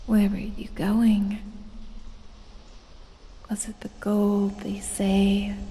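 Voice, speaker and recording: A very slow, breathy snake woman acted by a woman purrs close to her prey, sultry and gloating. Her tone is soft and dark, and it hangs in a long cavernous reverb over faint noise. It plays as an intimate, sinister ASMR fantasy roleplay. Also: medium pitch. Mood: sweetly possessive. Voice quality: breathy, whispery. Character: evil, seductive female lamia, venomous snake woman toying with prey. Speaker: audio roleplay performer. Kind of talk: ASMR roleplay, addressing the listener.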